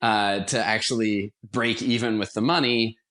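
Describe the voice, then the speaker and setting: Young american guy on a podcast, kinda low voice, chatty storytelling, jokes at his own expense. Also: fairly even intonation, moderate pace, sharp tone. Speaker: podcast guest, actor and theater company founder. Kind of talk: conversational interview storytelling.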